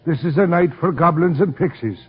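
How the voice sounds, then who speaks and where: A man's voice: fairly high pitch, fairly quick, breathy edge. A man playing a superstitious, talkative Irish policeman with an Irish accent and folksy lilt in 1930s radio drama style. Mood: chatty, eerie. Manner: folksy, lilting, garrulous. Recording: muffled old radio recording.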